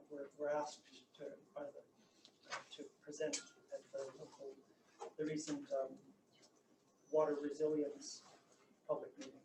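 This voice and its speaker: A soft-spoken man with a New Zealand accent, giving a formal submission to a council hearing with many fillers and restarts. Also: fairly high pitch. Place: council chamber. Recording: echoey room, distant mic, noisy.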